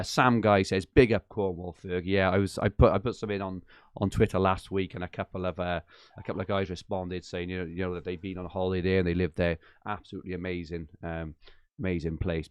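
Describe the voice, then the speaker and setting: Chatty low-voiced male podcast host. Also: clear, resonant tone, no room sound, clean studio sound. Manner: chatty, enthusiastic, many fillers and restarts. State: slightly croaky from a late night. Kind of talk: live-stream podcast chat. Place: home attic.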